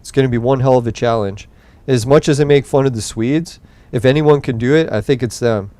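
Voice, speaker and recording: Laid-back man with a smooth, dry voice. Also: mid-range voice, brisk, warm tone, no room sound. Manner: rambling, profane, laid-back. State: voice getting tired late at night. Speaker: gaming podcast host. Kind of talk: casual gameplay commentary.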